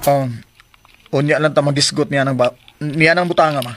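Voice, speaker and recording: A man's voice: a little high, fairly even intonation, normal speed, music in the background, natural voice. A man in a Visayan Filipino radio drama playing a composed, resolute young adult son. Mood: calm, resolute. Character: composed, resolute grown son set on leaving his partner. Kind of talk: broadcast radio drama acting.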